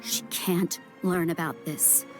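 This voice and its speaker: A woman's voice: on the low side, fairly even intonation, slow. A woman playing a guarded but caring young healer in an anime-style game. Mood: resolved. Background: game music.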